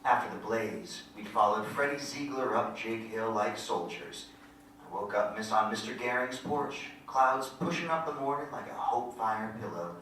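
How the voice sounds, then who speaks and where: A man's voice: on the low side, normal speed, a bit bright, natural voice. A man playing a reflective storyteller recalling country boyhood in a lyrical staged-reading monologue. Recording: echoey hall from far off, faint noise.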